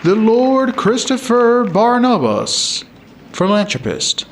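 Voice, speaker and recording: Pompous, high, swooping herald. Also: male voice, big pitch swings, a faint crowd, a little hiss or hum, exaggerated voice. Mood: pompous, stately. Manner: stately, drawn-out formal introductions. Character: pompous ceremonial announcer of lords and ladies. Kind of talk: audio drama ceremonial announcement.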